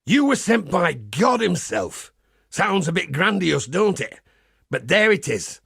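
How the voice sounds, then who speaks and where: A man voicing a pious, wry crusader priest in a video game, with a husky, gravelly voice and a measured, folksy preacher's manner. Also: sits high, very animated, fairly bright tone, no room sound, studio quality, full character voice. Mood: confiding, wry. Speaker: game character. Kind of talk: scripted game character monologue.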